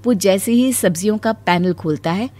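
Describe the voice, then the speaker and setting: A woman's voice: mid-range voice, moderate pace, mellow. A woman reading scripted narration as the storyteller of a moral tale.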